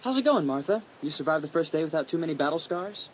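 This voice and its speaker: An actor playing a breezy, teasing high-school boy in a dramatised audiobook, casual and jaunty. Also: expressive, fast talker, a little hiss or hum, natural voice, poor audio.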